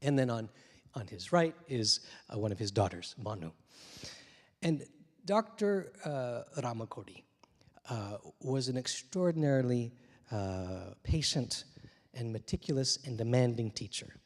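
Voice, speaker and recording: A man in his forties, a little hoarse, telling a personal story on a theater stage into a handheld mic, reflective and gently humorous with many small pauses and fillers. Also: mid-range voice, animated. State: slightly hoarse from many interviews. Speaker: translator and poet. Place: theater stage, dark room. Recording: long reverb of a theater hall.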